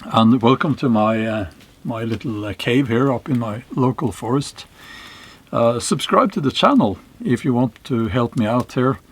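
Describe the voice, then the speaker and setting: A middle-aged Norwegian man speaking English with a Norwegian accent, giving a slow, reflective monologue to camera, with pauses and fillers. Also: mid-range voice, animated, slightly rough. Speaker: channel host, historical novelist. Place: small cave in a forest.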